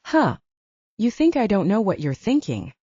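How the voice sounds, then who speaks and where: A woman's voice: mid-range voice, huge pitch swings, normal speed, warm tone, slightly rough, no echo, lo-fi sound, pitched above the performer's own voice. A woman reading a laid-back young werewolf guy. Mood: easygoing.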